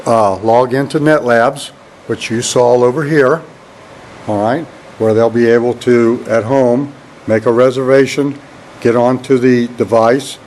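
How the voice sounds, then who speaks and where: A networking instructor with a deep, monotone voice, rambling a little and saying "all right" often. He was recorded on the mic of a handheld camera as he walked through an empty computer lab. A faint hum of air conditioning sits behind him. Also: poor audio. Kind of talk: casual classroom tour walkthrough. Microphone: handheld camera mic while walking.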